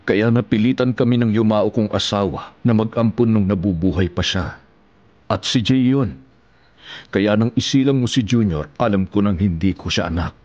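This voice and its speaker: An actor playing a gentle, devoted older widower husband in a Filipino radio drama, speaking in a tender, measured way. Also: male voice, lowish voice, dry recording, muffled recording, close to an everyday voice. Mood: tender, forgiving.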